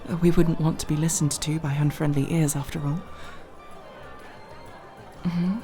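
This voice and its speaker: Casting: actress as young female elf thief for audio roleplay. Slightly low register, formal and proper diction, anxious yet proud, music underneath. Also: level tone, moderate pace, warm tone, lightly acted. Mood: awkward, curious. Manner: formal, wordy, prim diction with hesitations. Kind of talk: ASMR roleplay acting.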